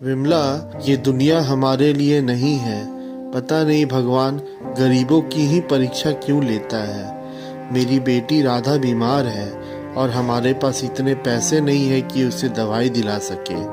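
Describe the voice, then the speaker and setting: A man's voice: medium pitch, fairly even intonation, unhurried, over music, close to an everyday voice. A man playing a poor, despairing village potter and father in a story, plaintive and humble. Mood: sorrowful.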